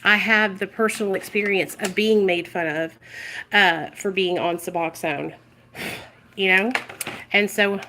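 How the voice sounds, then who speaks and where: A woman's voice: mid-range voice, steady tone, measured pace, sharp tone. A woman vlogging about recovery, talking passionately and bluntly with a strong voice and free swearing. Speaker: vlogger speaking on addiction recovery. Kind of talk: casual direct-to-camera talk. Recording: clear background noise with clicks and kitchen clatter.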